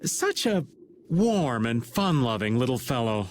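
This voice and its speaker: An actor playing a wry, laid-back older rogue with a sardonic drawl in a video game cutscene. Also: male voice, medium pitch, very animated, unhurried, a little hiss or hum.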